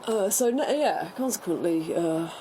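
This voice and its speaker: A woman co-hosting a casual radio chat in a halting, sardonic manner. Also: fairly low pitch, expressive, dark tone, slight background noise. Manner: hesitant, sardonic, sweary. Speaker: radio show co-host.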